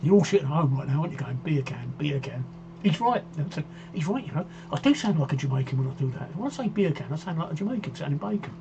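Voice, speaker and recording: A man in his sixties talks through a home live stream in a loose, rambling way, repeating phrases as he goes. His voice sits a touch high, and the audio is dull, with a clear electrical hum behind him. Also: animated, quick pace. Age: 60s. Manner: chatty, rambling, self-repeating. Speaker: livestream host. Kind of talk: casual live-stream chat reading viewer comments. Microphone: desk microphone.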